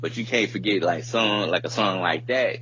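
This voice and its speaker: A man in his thirties with a deep, low voice talks casually and informally, rambling in slangy phrases like a podcast co-host. The recording is dull and lo-fi, with a faint hum behind him. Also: normal speed, pushed and bright. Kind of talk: casual chat. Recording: muffled lo-fi audio with background hum.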